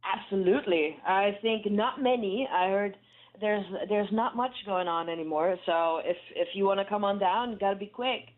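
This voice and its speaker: A woman, a German native speaker and professional wrestler, chatting as a guest in an animated, playful way with laughs. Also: medium pitch, normal speed. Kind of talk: casual phone interview. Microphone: phone. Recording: tinny phone line.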